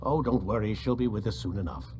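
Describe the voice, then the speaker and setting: An actor playing an older, condescending scientist villain in a video game, impatient and lecturing. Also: male voice, fairly low pitch, a little fast, music in the background, natural voice. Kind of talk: dramatic video game acting.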